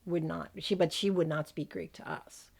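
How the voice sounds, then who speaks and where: A woman's voice: low voice, steady tone, moderate pace, gravelly, darkish tone, slightly rough. A woman hosting a casual podcast, talking with a lisp after dental surgery. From United States. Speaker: podcast host.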